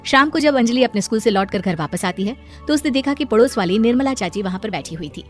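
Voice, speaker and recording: A woman's voice: fairly high pitch, animated, fast, soft background music. A woman giving scripted narration of a moral story.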